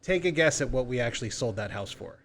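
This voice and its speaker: A man's voice: mid-range voice, expressive, quick pace, fairly bright tone. Guy doing a casual podcast interview as a realtor, explains stuff with example calls, some noise behind him. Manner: informal, role-plays example phone calls. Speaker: real estate agent, podcast guest. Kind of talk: conversational podcast interview, explaining sales scripts.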